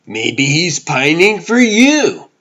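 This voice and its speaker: A man's voice: fairly high pitch, very animated, slow pace, a bit bright, lo-fi sound, heavily acted. A man playing a cheerful, breezy, chatty boyfriend in a comic book dub. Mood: breezy, upbeat.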